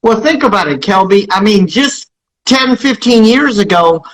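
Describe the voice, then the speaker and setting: A man's voice: sits high, animated, normal speed, rough recording. A man speaking as an interview guest, explaining things in an emphatic, advisory way. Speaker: interview guest, radio tracking company founder.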